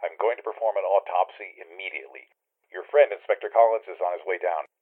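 Man playing a low, husky coroner on the telephone. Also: quick pace. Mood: grave. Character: grave, formal male county coroner. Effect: telephone filter. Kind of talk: 1940s radio drama acting. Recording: muffled, husky telephone line.

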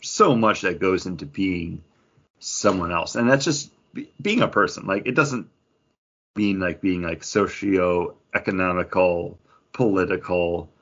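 Husky low-voiced man chatting casually. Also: fairly bright tone, dull audio. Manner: halting, filler-heavy, acting out imagined lines as he talks. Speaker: podcast co-host reviewing comic books. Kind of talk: casual chat.

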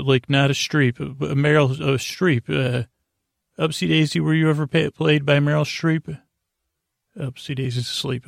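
A man with a creaky voice hosting a bedtime podcast, meandering and halting through a rambling monologue with pauses and tangents. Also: medium pitch, no echo, clean studio sound. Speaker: podcast host. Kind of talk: rambling bedtime monologue to help listeners sleep.

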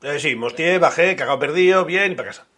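A man's voice: fairly high pitch, a little fast, bright, forceful tone. A man co-hosting a podcast, teasing his partner and laughing often. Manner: teasing, laughs a lot, swears. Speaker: podcast co-host. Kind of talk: casual banter.

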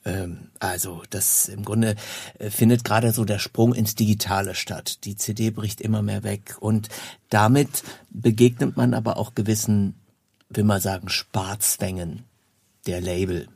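A man's voice: lowish voice, fairly even intonation, unhurried, gravelly, a little mellow, husky, no room sound. A man interviewing guests in an informal, conversational way.

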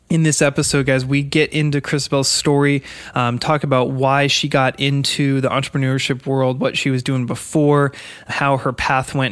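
A man's voice: mid-range voice, fairly bright tone, clear, resonant tone, dry recording. A man hosting a podcast, introducing guests and talking directly to listeners in an informal way. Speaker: podcast host.

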